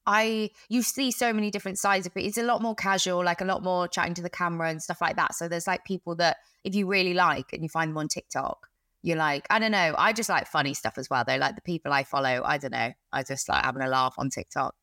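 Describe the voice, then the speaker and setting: A British woman co-hosting a podcast, chatting in a lively, casual way. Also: on the low side, fast, no room sound, studio quality. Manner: chatty, animated. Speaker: podcast co-host. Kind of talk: casual chat.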